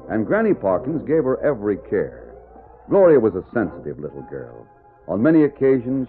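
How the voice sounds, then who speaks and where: Tinny old-radio American theatrical male narrator. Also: on the low side. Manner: dramatic, theatrical. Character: stirring narrator of a western adventure serial. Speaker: announcer and narrator. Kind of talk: old-time western radio drama narration.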